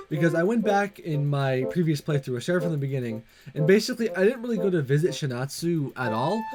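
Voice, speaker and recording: A man with a fairly high, very animated voice hosts a visual novel playthrough. He moves between casual commentary and reading narration, with music playing behind him. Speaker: let's play host.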